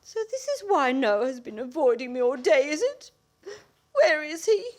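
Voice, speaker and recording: High-pitched melodramatic scolding battle-axe wife. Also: female voice, very animated, moderate pace. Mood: outraged, vengeful. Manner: indignant, theatrical, accusatory. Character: scolding, melodramatic married woman, a meddling battle-axe mother. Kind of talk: stage comedy performed as radio play.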